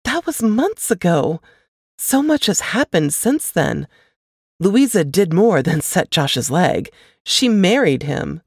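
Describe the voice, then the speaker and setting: A woman voicing an anxious, warm and chatty elder sister in an audiobook, effusive and full of fussing concern. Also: fairly low pitch, big pitch swings, slow, slightly rough, no room sound, studio quality, heavily acted. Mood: dismayed, excited. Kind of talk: audiobook character dialogue.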